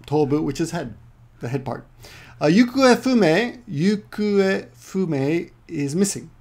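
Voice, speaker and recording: A man's voice: a little high, expressive, slow pace, a little mellow. A middle-aged man from Peru who lives in Japan, giving a casual online Japanese lesson in a rambling way, correcting himself often and adding joking asides. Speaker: Japanese language teacher.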